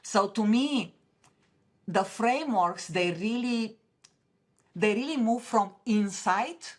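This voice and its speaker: A woman with a Romanian accent, a podcast guest speaking earnestly and passionately, often restarting her sentences. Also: mid-range voice. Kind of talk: interview conversation.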